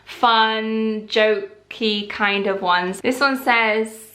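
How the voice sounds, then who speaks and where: A young woman chatting casually through a shopping haul, calm and rambling. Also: fairly high pitch, drawn-out words, roomy sound. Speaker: vlogger.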